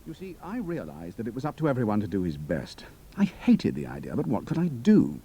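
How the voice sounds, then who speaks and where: An actor playing a cool, capable young pilot and spy, speaking in a clipped, matter-of-fact way. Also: male voice, on the low side, wildly swooping pitch, brisk, dark tone, breathy edge, heavily acted. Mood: earnest briefing.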